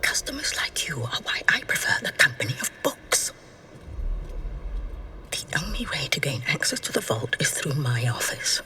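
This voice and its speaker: Hushed, very low-voiced haughty bookshop keeper. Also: female voice, very animated, moderate pace, whispered, recorded from a distance, heavily acted. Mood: disdainful, dismissive. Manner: hushed, disdainful, clipped politeness. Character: haughty, secretive arcane bookshop keeper, coolly condescending. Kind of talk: dramatic video game acting.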